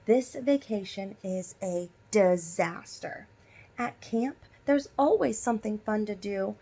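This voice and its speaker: A woman voicing a young boy from a storybook with emphatic, expressive delivery. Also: medium pitch, slow pace, breathy edge. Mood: glum. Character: young boy, upbeat, eager storybook character.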